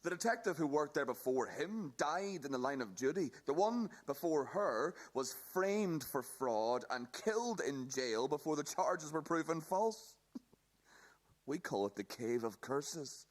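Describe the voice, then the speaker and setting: An actor playing a pedantic, sardonic detective in a radio play, with formal, dryly teasing wording. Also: male voice, a little high, expressive, a little slow.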